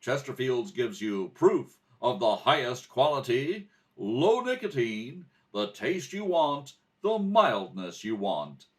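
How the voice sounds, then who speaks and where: A man's voice: mid-range voice, voice pushed up. A man playing an upbeat, emphatic salesmanlike announcer reading a 1950s-style radio commercial.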